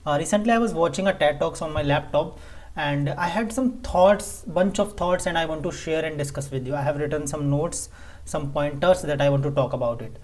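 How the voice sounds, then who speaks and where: A man's voice: fairly high pitch, fairly quick, a little mellow. A man from Delhi, a native Hindi speaker with an Indian English accent, talking casually to camera as a vlogger, often stumbling and restarting his phrases.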